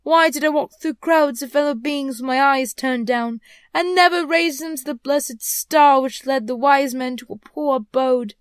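A woman plays a dead businessman's ghost from a storybook, tormented and full of remorse. The voice is pitched high and closely recorded, with a dry sound. It wails and declaims slowly, stretching out each phrase. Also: no echo, close to an everyday voice. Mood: remorseful, urgent. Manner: wailing, slow, drawn-out declamation. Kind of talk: dramatic storybook character reading.

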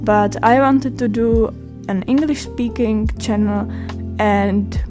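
A young woman from the Czech Republic with a Czech accent, narrating her art vlog in a halting way, with many fillers and restarts. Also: fairly high pitch, fairly even intonation, over music. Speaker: art vlogger. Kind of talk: voice-over narration.

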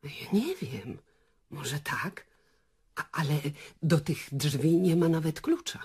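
Radio drama theatre barmaid, female actor: talkative, excitable, husky voice, unhurried delivery. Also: low voice, exaggerated voice. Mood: confiding. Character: superstitious, talkative female theatre bar worker. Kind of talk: radio play acting.

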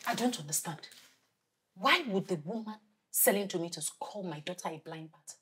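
A woman's voice: on the low side, lively intonation, normal speed, fairly bright tone, breathy edge, full character voice. A woman playing a stern, indignant mother scolding her younger sister in a film drama.

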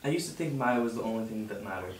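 Young guy reading script lines, lowish voice, echoey noisy room. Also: expressive, fast talker, recorded from a distance. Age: young adult. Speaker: actor reading his part at a table read. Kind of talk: script read-aloud and casual chat. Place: home dining room. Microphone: far camera.

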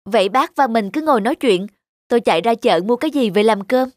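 A woman voicing a gentle, self-sacrificing young wife from a poor home in a cartoon. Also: fairly high pitch, expressive, fast, dry recording, natural voice. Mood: gentle, resigned. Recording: background music throughout.

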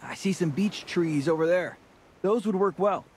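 Higher-voiced breezy young pirate. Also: male voice, normal speed, a little mellow. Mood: practical. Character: breezy, confident young male pirate helmsman. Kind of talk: video game voice acting.

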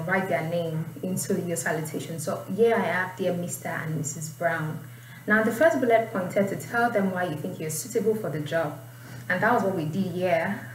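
Young nigerian lady giving an informal lesson, echoey room, bit of background hiss. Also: on the low side. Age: young adult. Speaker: IELTS writing teacher. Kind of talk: informal tutorial, explaining and reading aloud. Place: small indoor room. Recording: roomy, distant mic, faint noise.

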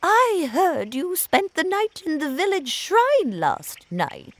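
A woman playing an awestruck villager in an animated fairy tale, dramatic and full of wonder, with music behind her. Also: extremely high pitch, slow pace, no echo, heavily acted. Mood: ominous. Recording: voice over loud background music.

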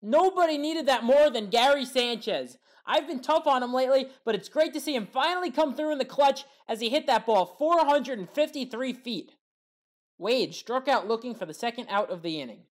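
A woman giving scripted play-by-play recap commentary over sports highlights. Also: expressive, a little fast. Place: voice-over recorded apart from the game.

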